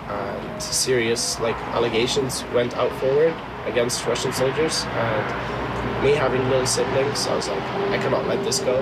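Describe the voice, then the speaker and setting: A young man of twenty with a Dublin accent gives an informal interview as a soldier. His pitch is average and his phrasing halting, with clear ambient noise around him. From Dublin, Ireland.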